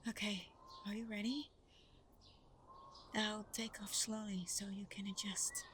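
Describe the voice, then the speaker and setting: Casting: actress for gentle, shy young woman, medium pitch, unhurried breathy delivery with small stammers, intimate roleplay audio, ambient noise behind. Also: flat delivery, close to an everyday voice. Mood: gentle, caring. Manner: hesitant, stammering, gentle, breathy half-whispers. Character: shy, sweet, eager young woman, Santa's adopted daughter. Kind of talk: roleplay audio drama, soft-spoken ASMR, addressing the listener.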